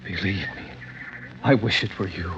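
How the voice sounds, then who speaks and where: An actor playing a bitter, grieving middle-aged father in a 1950s radio drama, speaking haltingly and heavily. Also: low voice. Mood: bitter grief. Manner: halting, heavy, emotional. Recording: dull, noisy old radio recording.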